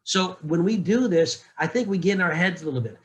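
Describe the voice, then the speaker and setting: A man's voice: sits high, lively intonation, fairly quick. A middle-aged man, a narration coach, talking candidly in casual panel chat, joking and often restarting his sentences.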